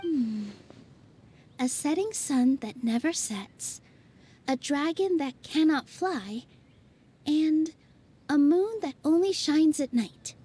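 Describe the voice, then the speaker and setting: A woman playing a gentle, earnest young forest fairy in an anime-style video game. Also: sits high, animated, slow, pauses often. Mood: calm, inviting.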